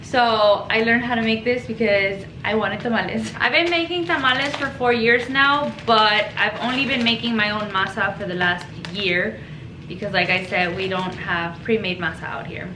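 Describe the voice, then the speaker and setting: A woman's voice: medium pitch, steady tone, normal speed. A young woman whose first language is Spanish, rambling casually and informally while making a home cooking video in her kitchen. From Mexico. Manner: rambling, informal, self-deprecating. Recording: echoey kitchen with background noise.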